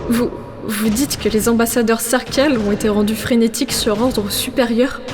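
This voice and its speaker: Woman playing a higher-voiced practical insect adventurer. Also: fairly even intonation, moderate pace, background noise, close to an everyday voice. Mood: surprised. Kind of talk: audio drama acting.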